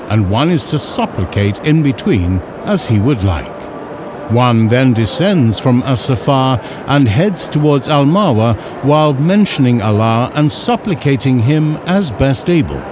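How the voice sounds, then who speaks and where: Male narrator with lively, varied intonation. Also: medium pitch, measured pace, background noise. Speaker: narrator of an educational film. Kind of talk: scripted instructional narration.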